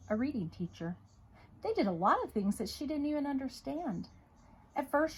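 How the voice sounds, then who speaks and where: Husky-voiced woman reading a children's story. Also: medium pitch, rough recording. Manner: dramatic, with emphatic repetition and hushed moments. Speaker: storyteller reading a children's picture book aloud. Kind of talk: expressive read-aloud narration.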